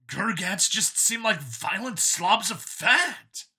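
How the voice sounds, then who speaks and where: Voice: mid-range voice, sing-song, slow, pushed and bright, slightly rough, pitched above the performer's own voice, heavily acted. A narrator voicing a smug, bigoted android copy of a man in an audiobook, with an eager, boastful, emphatic and sneering delivery. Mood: sneering, fervent.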